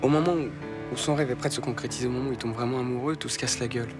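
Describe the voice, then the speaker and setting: An earnest young man, as an actor plays him reasons fast and steadily at a middle pitch. His manner is patient and persuasive. Loud music plays behind the TV scene. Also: fairly even intonation, close to an everyday voice. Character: calm young man defending a friend. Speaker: Rudy. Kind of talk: soap opera acting.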